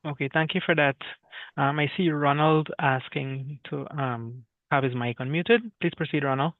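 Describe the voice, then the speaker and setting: A man's voice: a little high, fairly even intonation, no room sound. Man moderating over a tinny, phone-like line. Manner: brisk, reads out audience questions. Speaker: webinar moderator. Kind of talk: moderating questions.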